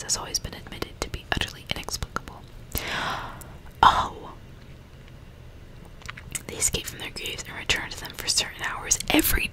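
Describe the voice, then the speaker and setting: A woman whispering softly and breathily into a close microphone, ASMR style, reading a book and chatting intimately in asides. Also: poor audio. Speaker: ASMR reader and commentator.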